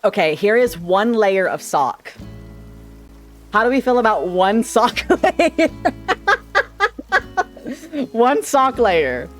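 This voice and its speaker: A man chatting casually on a livestream with a slightly shrill, sharp-sounding voice, rambling playfully and tripping over his words. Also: sing-song, slow, long pauses, faint music behind. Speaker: streamer. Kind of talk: casual livestream chat with viewers. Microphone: desk microphone.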